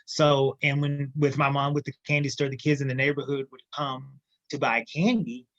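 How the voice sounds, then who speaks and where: A man telling his story as a podcast guest, emphatic and lively. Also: mid-range voice, normal speed, no echo, lo-fi sound. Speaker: guest entrepreneur. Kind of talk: casual interview storytelling.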